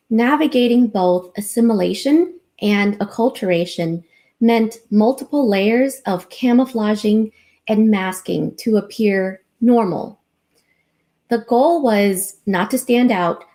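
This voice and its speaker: Earnest woman with a mellow voice. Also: mid-range voice. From United States. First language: Vietnamese. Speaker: researcher and advocate. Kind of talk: scripted personal talk.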